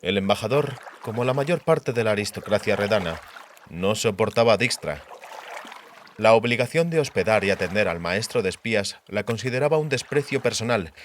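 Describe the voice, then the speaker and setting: A man's voice: lowish voice, animated, normal speed, slight background noise. A man reading scripted narration of a fantasy novel as an audiobook narrator.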